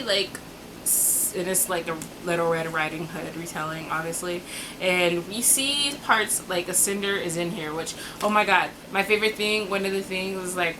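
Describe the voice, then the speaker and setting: A woman with a fairly low voice talks casually to camera as a book vlogger. Her phrasing is informal and slangy, with exasperated asides and stretched-out words for emphasis. Clear background noise sits behind her.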